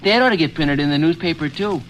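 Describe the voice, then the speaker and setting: A man's voice: on the high side, fast, a bit bright, faint music behind, lightly acted, low-quality recording. An actor playing an eager, boastful teenage boy, earnest and self-important, in 1930s radio comedy style with folksy small-town talk. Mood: proud.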